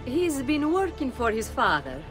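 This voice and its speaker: Poised, animated Italian noblewoman. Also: high voice, measured pace, music in the background, heavily acted. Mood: gracious. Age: middle-aged. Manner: composed, knowing. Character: poised, wry, middle-aged Italian noblewoman and mother. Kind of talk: dramatic video game acting.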